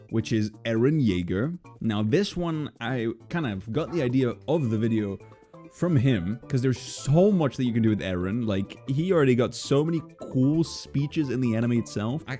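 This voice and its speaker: A young man who creates videos, chatting casually in an informal, excitable and expressive way. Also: mid-range voice. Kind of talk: casual chatty vlog talk.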